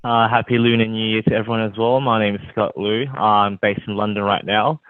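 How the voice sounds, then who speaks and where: An Australian man introducing himself on a video call panel, measured and with many fillers. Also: on the low side, flat delivery, no echo, narrow, tinny audio.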